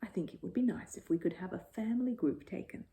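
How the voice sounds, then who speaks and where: A woman's voice: mid-range voice, fast talker, dark tone, natural voice. A woman reading the part of a thoughtful, slightly flustered middle-aged father in a children's storybook. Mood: explaining. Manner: musing, vague, hesitant.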